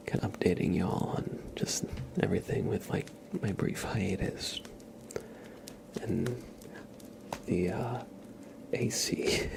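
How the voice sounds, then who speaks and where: A man whispering softly and breathily as an ASMR livestream host, chatting informally and directly to his viewers. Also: on the low side, low-quality recording. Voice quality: soft, breathy whisper with some creak.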